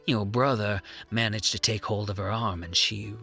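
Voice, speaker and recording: A man's voice: on the low side, steady tone, normal speed, sharp tone, soft background music. A man playing a grim, dogged sheriff in an Old West audio drama, folksy and stern. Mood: grim resolve.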